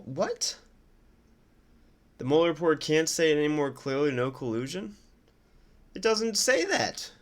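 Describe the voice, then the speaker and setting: A man doing casual political reaction commentary, sardonic, incredulous and quick to swear. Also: fairly high pitch, animated. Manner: sardonic, incredulous, foul-mouthed. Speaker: streamer commenting on news clips.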